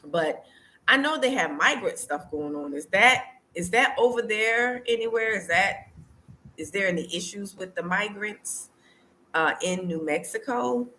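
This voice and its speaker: A woman's voice: medium pitch, lively intonation, a little slow, pushed and bright, slight background noise, poor audio. An American woman chatting casually to her viewers as a vlogger, repeating phrases for emphasis in a conversational way. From United States. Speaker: vlogger hosting a live stream.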